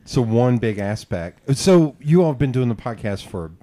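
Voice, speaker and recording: A man hosting a podcast interview, asking loose, rambling questions in a relaxed way. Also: medium pitch, moderate pace. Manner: relaxed, laughs easily, asks rambling questions. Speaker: podcast host. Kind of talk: casual interview chat.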